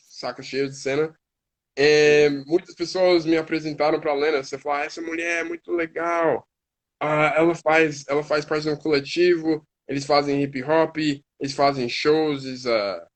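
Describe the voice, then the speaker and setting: A man with an American accent, a native English speaker, talking as a guest on a live stream and often restarting words as he searches for them. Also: on the high side. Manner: halting, restarts words while searching in Portuguese. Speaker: guest, hip hop artist. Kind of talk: casual live-stream conversation.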